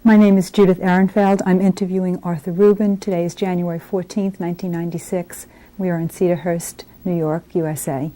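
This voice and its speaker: A woman conducts a formal interview and asks her questions slowly and carefully. Her voice is low-pitched. Its tone is dark and warm. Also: flat delivery, rough recording. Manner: slow, measured and formal. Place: home interview.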